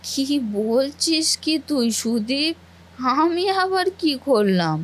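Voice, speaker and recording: A woman's voice: high-pitched, very animated, takes it slowly, heavily acted. A woman voicing a scheming, meddlesome aunt in a cartoon family melodrama, talking in an insinuating, goading way. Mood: feigned innocence. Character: scheming meddlesome aunt poisoning a nephew's ears.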